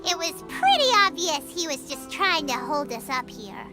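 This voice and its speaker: A woman voicing a small, cheeky, childlike fairy companion in a video game, with a squeaky voice and a blunt manner. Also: very animated, moderate pace, music in the background. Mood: knowing, cheeky. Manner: speaks of herself in third person, blunt.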